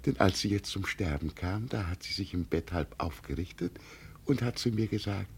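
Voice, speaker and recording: An actor playing a gentle, deluded old peasant who believes he is an emperor, solemn and dreamy in a 1950 radio play. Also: low voice, normal speed, a little hiss or hum. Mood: wistful. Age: older. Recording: vintage 1950 radio recording.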